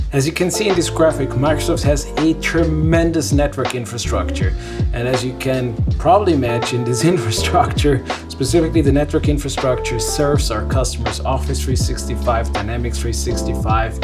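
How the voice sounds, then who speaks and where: A man in his thirties from Switzerland presenting a casual tech explainer, conversational and thinking aloud as he sketches. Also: mid-range voice. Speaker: vlog host and tech presenter. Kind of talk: casual whiteboard explainer to camera. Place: small home studio indoors.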